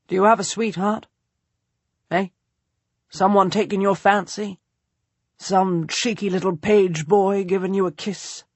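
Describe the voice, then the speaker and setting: Leering middle-aged lord in a storybook, dropped low and husky, woman reader doing the voice, dry close sound. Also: fairly even intonation, measured pace, pauses often, darkish tone, no room sound, lightly acted. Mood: prying. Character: powerful middle-aged nobleman, leering and insistent.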